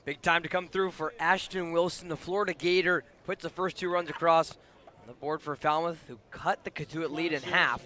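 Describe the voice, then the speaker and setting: Bright, higher-voiced male baseball color commentator. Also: steady tone, normal speed, a little hiss or hum. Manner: conversational, analytical. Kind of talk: live radio sports analysis.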